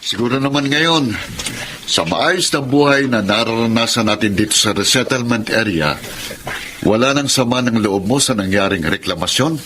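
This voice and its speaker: A man's voice: mid-range voice, moderate pace, sharp tone, lightly acted. Friendly Filipino tricycle driver, acted by a man, noisy. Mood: friendly, upbeat. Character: friendly, enterprising egg-delivery tricycle driver. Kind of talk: Filipino radio drama acting.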